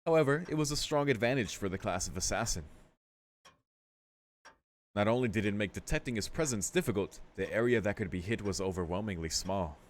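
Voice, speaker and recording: Guy with a lowish voice streaming a visual novel, reads it out loud, swears and mutters little comments. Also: animated, fairly quick. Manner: casual, swearing, muttered asides. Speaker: let's play streamer reading a visual novel aloud. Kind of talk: casual gaming commentary mixed with read-aloud narration.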